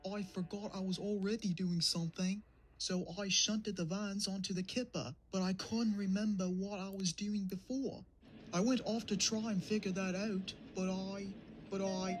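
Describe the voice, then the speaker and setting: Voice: mid-range voice, measured pace, soft background music, natural voice. An actor voicing a forgetful, anxious cartoon diesel engine, apologetic and hesitant, prone to gasps. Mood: flustered recalling. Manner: hesitant, gasping, dithering. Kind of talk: cartoon comic dub dialogue.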